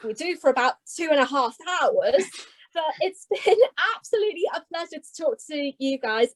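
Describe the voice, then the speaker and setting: A woman's voice: high voice, rough recording. A woman hosting an online panel, chatty and upbeat, often restarting her sentences. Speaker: panel host.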